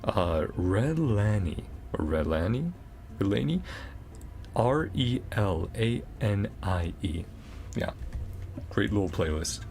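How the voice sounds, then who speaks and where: A man chats through his gameplay in an easygoing, unscripted way. He rambles and thinks aloud, with some fry in his voice. There is faint noise behind him. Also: on the low side, lively intonation, takes it slowly, a little mellow. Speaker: gaming video host. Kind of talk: casual gameplay reaction commentary.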